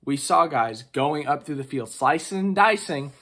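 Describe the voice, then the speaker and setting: A man's voice: fairly high pitch, moderate pace. Sick man rambling through a race recap. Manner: chatty, rambling, excitable with many fillers. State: sick with strep throat. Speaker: racing vlogger.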